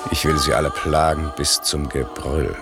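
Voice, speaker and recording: A man's voice: very deep voice, fairly even intonation, moderate pace, loud music behind the voice. An actor playing a stern, commanding old magician and father in a radio play, speaking solemn, declamatory verse.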